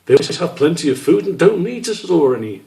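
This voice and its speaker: Man putting on a high-pitched, curious young squirrel. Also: big pitch swings, quick pace, dark tone. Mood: wondering. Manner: hesitant, questioning.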